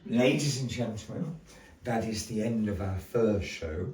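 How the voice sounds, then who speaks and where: A male master of ceremonies delivers theatrical patter with a halting, mock-flustered politeness. His pitch swoops widely and his pace is unhurried. The sound is that of a live indoor venue, picked up from some distance. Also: lively intonation, a little mellow. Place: indoor gallery performance space. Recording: roomy live venue, distant mic, faint noise.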